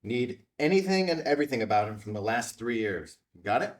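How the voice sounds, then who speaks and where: An actor playing a terse, guarded investigator with a dry edge in a screenplay table read. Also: male voice, mid-range voice, sing-song, fairly quick, recorded from a distance, lightly acted. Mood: brisk, businesslike.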